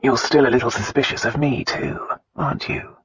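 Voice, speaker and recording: Romantic lead husband, acted by a man: tender but commanding, very breathy and husky, mid-pitched, slightly muffled recording. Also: fairly even intonation, moderate pace, fairly bright tone, dry recording. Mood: tender, knowing. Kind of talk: romance audiobook dialogue.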